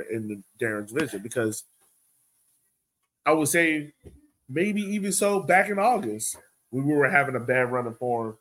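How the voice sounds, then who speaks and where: A man's voice: mid-range voice, fairly bright tone. A man co-hosting a sports podcast, talking casually and emphatically, pressing his point by repeating it. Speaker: podcast host.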